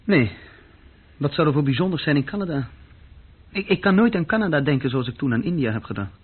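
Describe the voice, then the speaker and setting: Restless young fella telling his story, bit husky, old muffled radio drama sound. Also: on the high side, lively intonation, fairly quick. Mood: guarded. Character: restless young man recounting his adventure abroad. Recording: dull, lo-fi old radio recording.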